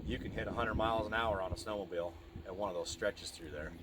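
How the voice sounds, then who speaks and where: A man's voice: fast, a bit bright. A man in his early forties with a Midwestern American accent, chatting casually and reminiscing. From small-town Iowa, United States. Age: early 40s. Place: outdoors at an old rural bridge. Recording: noisy outdoor recording with ambient rumble.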